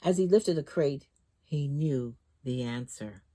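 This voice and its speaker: A woman storytime host reading a children's book aloud in an expressive, dramatic way that leans on key words. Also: a bass voice, slow pace.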